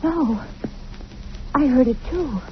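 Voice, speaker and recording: An actress playing a frightened, fragile wife in a 1940s radio suspense play, speaking in halting, fearful phrases. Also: female voice, sits high, expressive. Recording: noisy crackly vintage radio, muffled.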